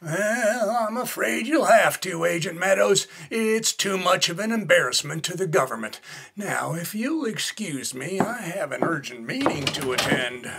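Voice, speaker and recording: A man's voice: sits high, expressive, a little slow, creaky, a bit bright, husky, heavily acted. A man playing a smug, duplicitous colonel in a radio drama, dry and condescending. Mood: dismissive. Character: smug duplicitous male colonel, secret double agent. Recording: music behind the voice.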